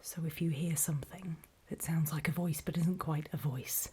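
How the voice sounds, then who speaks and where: A woman playing a wary, paranoid zombie apocalypse survivor in a horror ASMR roleplay, in a soft breathy whisper with hesitant, confiding delivery. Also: low voice, steady tone. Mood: hushed, wary warning. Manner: slow, hesitant, confiding, with pauses.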